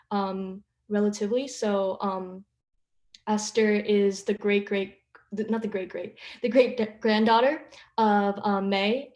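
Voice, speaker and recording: A woman explaining her writing research on a panel as a playwright, speaking in a halting, tentative way. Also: mid-range voice, a little mellow. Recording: roomy, echoey video call sound.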